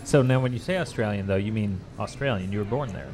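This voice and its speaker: A man's voice: lowish voice, quick pace, darkish tone. A man hosting a radio interview, asking casual and curious follow-up questions in an informal, loose way. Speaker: radio host. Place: public fair.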